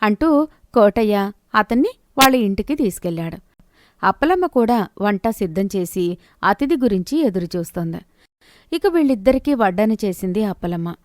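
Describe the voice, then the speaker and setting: A female narrator tells a scripted moral tale. Her voice sits at a medium pitch, and the recording is dry, with hardly any room sound. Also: expressive, moderate pace, dry recording.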